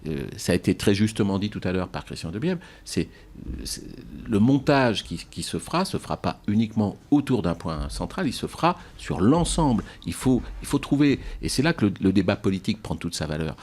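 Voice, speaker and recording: Very deep-voiced male mayor. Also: big pitch swings, a little mellow. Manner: halting, repeats words for emphasis. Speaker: town mayor. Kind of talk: political interview answers.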